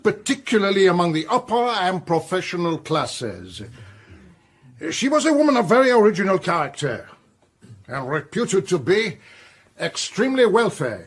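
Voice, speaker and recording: An actor playing a formal, exact French police officer giving official testimony in a radio drama. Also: male voice, high voice. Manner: formal, expressive.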